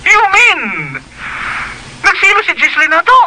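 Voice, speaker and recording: A man playing a playful, teasing best buddy in a radio drama, with an animated delivery. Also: extremely high pitch, full character voice. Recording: faint noise behind the voice.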